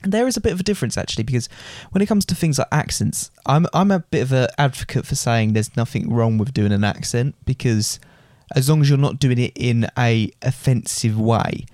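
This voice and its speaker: A man's voice: mid-range voice, brisk, hardly any pauses, darkish tone, dry recording, clean studio sound. A young man co-hosting a podcast, earnestly explaining his views in casual chat. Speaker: podcast co-host.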